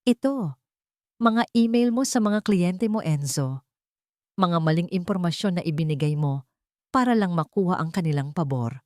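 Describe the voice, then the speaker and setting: A woman voicing a composed, defiant young woman in an audio love drama, calm, cutting and deliberate. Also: lowish voice, dry recording, clean studio sound, close to an everyday voice. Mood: cold accusation.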